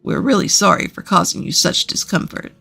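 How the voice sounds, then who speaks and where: A woman's voice: on the low side, animated, brisk, gravelly, bright, forceful tone, slightly rough, faint music behind, voice pushed down, full character voice. A woman voicing a grave, formal older father in a narrated family story. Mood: apologetic, grave.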